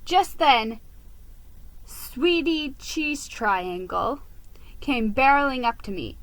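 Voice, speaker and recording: A woman narrating a children's story as an expressive, theatrical dramatic reading. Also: high-pitched, slow pace, no room sound, low-quality recording.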